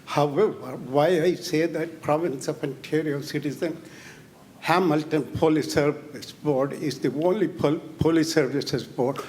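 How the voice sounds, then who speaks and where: A man's voice: fairly high pitch, measured pace, darkish tone. A man from the audience at a press conference, pressing an insistent, detail-heavy question. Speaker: audience member asking a question.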